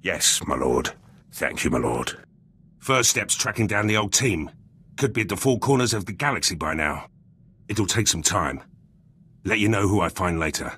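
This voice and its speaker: An actor playing a blunt, battle-hungry special forces soldier in a video game, gruff and husky, speaking in clipped, terse sentences. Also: male voice, low voice, lively intonation, moderate pace, sharp tone, a little hiss or hum. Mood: eager, determined. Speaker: soldier companion character. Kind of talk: video game dialogue acting. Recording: music under the voice.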